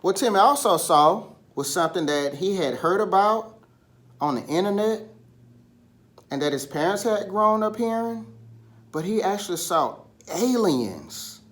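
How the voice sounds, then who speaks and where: A man telling a children's story in an animated style, with dramatic pauses and drawn-out, emphatic words. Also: high-pitched. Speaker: storybook reader.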